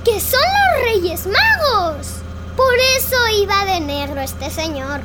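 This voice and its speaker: A woman's voice: very high-pitched, big pitch swings, slow, very noisy, heavily acted. A woman playing an adventurous, cheeky little girl in a children's radio play. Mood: excited, amazed.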